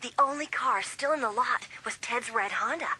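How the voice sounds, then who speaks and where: A woman acts a sassy teenage gossip in an audiobook drama. The voice is high and bright, swinging expressively, with a husky edge. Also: moderate pace, exaggerated voice. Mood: gossipy. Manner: slangy, expressive, teasing. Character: sassy, gossipy American-style high school girl.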